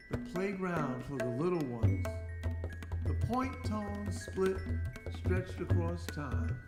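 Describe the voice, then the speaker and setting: Slow echoey woman's poetic declamation over music. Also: fairly low pitch. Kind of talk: poetic spoken word over music, chanted in places. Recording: echoey room with loud music behind. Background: loud live instrumental music.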